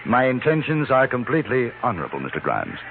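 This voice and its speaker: A man playing a polite, measured, wry gentleman newspaper reporter in a 1950s radio western. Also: medium pitch, wildly swooping pitch, vocal fry, slight background noise. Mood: courteous. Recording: narrow-band old radio, music behind.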